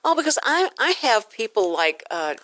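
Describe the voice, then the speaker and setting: Lively, gushing woman hosting a chat show. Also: fairly high pitch, moderate pace, no room sound. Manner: excitable, gushing, exclaiming. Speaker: radio talk show host. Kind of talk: casual chatty interview.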